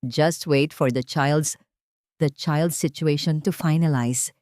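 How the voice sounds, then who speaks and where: A woman voicing a cold, scheming woman doctor who speaks low, slow and seething on the phone. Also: fairly even intonation, a little mellow, no room sound, studio quality.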